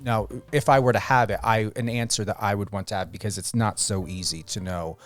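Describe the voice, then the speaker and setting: A man hosting a radio interview in an unscripted style, rephrasing his way through questions in a halting manner. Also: lowish voice, steady tone, soft background music. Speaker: radio show host.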